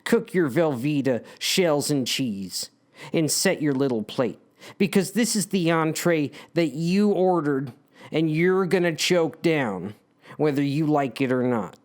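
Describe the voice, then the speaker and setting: A man's voice: a little high, animated, unhurried. A man reading his own story as dramatic narration, speaking to the characters in a wry, theatrical, conspiratorial way.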